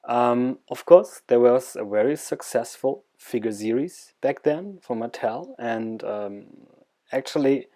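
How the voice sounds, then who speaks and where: A man with a German accent giving an informal presentation, halting and searching for words, with enthusiasm for what he presents. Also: mid-range voice, mellow.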